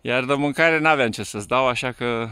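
A man's voice: mid-range voice, bright, forceful tone, smooth, no room sound. A man working as a ski instructor, talking casually and playfully, teasing and coaxing as he calls out on a slope. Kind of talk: casual phone-video chat while skiing. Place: outdoors on a snowy ski slope. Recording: windy outdoor phone recording, wind noise.

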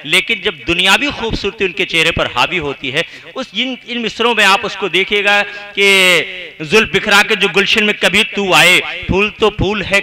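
Bright-voiced man hosting a poetry night. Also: sits high. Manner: ornate, courteous Urdu phrasing. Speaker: mushaira host. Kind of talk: poetic stage announcing with recited couplets.

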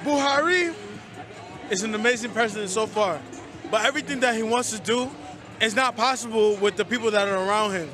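A man's voice: high voice, fairly quick, music in the background, crowd noise. A man from Nigeria who works for a nonprofit, speaking earnestly in a street interview. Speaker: nonprofit worker.

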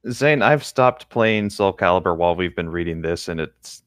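A man's voice: deep voice, lively intonation, normal speed, fairly bright tone, dry recording, clean studio sound. A man co-hosting a podcast in casual chat, easygoing and a little hesitant in how he talks. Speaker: podcast co-host.

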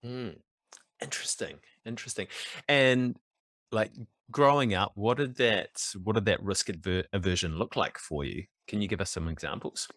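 A man's voice: on the low side, moderate pace, fairly bright tone, dry recording. A man in his thirties with a New Zealand accent, hosting a podcast over a video call and asking questions in a matey, friendly way. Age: 30s. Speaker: podcast host. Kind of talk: casual interview chat. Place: indoor room. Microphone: headset on a video call.

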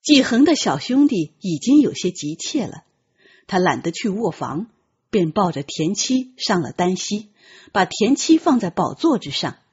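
Woman audiobook narrator, very breathy husky voice, lively swooping intonation, dramatic storytelling, slightly muffled. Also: mid-range voice, measured pace.

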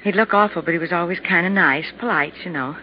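An actress playing a shaken, chatty apartment neighbor with an American accent and rambling talk in a 1950s radio drama. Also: female voice, on the low side, quick pace, close to an everyday voice. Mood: reflective, chatty. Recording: muffled, noisy 1950s radio recording.

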